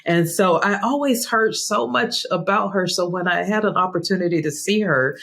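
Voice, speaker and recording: A woman's voice: on the low side, moderate pace, vocal fry, fairly bright tone. A woman hosting an interview podcast, enthusiastic and affirming as she asks questions. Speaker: podcast host and coach.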